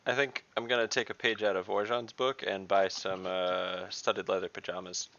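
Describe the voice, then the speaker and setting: A man with a fairly low, creaky voice chats casually as a tabletop game player. The online call audio is slightly dull. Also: flat delivery, moderate pace, a bit bright.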